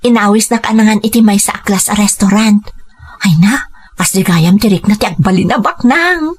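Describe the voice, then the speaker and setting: A woman playing an ambitious young woman dreaming of a rich suitor in a Filipino audio drama, with breathy asides and hesitant confessions. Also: medium pitch, normal speed, close to an everyday voice. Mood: dreamy, confiding.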